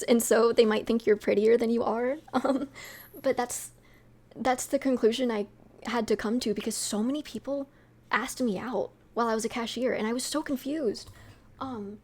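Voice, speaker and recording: Young female streamer, sweet and a touch high, loose rambling chat with fillers. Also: a little mellow. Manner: rambling, many fillers and light laughs. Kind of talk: casual chat with viewers.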